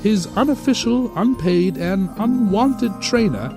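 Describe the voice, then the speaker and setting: An actor playing a pompous narrator of a comedic radio play, given to florid storytelling and fussy asides. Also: male voice, high voice, animated, unhurried, music in the background. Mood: theatrical. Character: pompous, easily sidetracked radio-play narrator, smugly tactless.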